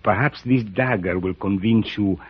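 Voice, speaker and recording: Smooth sinister high priest, mock-courteous and pompous, muffled vintage radio sound. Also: male voice, normal speed. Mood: quietly menacing. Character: suave menacing Indian high priest, courteous and cryptic. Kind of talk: old-time radio drama acting.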